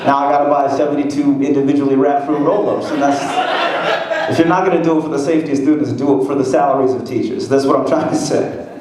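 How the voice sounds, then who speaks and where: A man in his twenties doing a stand-up comedy set in a conversational, self-deprecating way, with a light voice that has little bass. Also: fairly even intonation, quick pace, noisy background. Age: 20s. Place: comedy club stage, echoey room. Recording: echoey club with a laughing crowd. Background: laughing audience.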